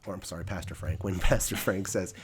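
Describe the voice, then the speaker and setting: A man's voice: low voice, steady tone, fast talker, noisy background. A man in his thirties hosting a casual church interview, upbeat and affirming, often agreeing with short yeahs. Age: 30s.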